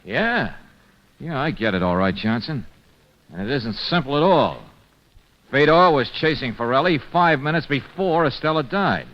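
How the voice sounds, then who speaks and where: An actor playing a hard-boiled private detective in an old-time radio drama, sardonic and probing. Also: male voice, mid-range voice, lively intonation, moderate pace, close to an everyday voice. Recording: dull lo-fi old radio recording.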